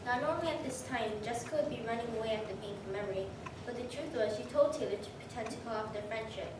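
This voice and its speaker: A woman's voice: on the high side, fast talker. Echoey woman narrator, far from the mic. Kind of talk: scripted story narration, reader's theater. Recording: distant mic, very echoey room, noisy.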